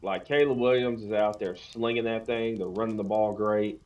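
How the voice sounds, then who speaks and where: A man's voice: on the low side, steady tone, normal speed, a little mellow. Slightly muffled man talking sports casually. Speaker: podcast co-host.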